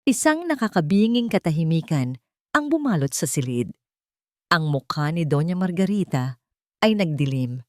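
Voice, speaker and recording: A woman reading a scripted romance story in a dramatic, deliberate storytelling manner. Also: low voice, sing-song, no echo, clean studio sound. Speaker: story narrator.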